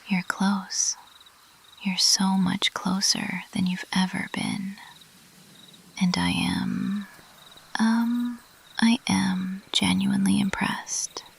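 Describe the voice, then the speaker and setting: A woman voicing a mischievous, playfully elusive bat monster girl in a teasing, intimate, breathy whisper for an ASMR roleplay. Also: medium pitch, a little hiss or hum. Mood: teasing, admiring. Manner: slow, teasing, intimate, lingering on pauses. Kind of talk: ASMR roleplay, addressed to the listener.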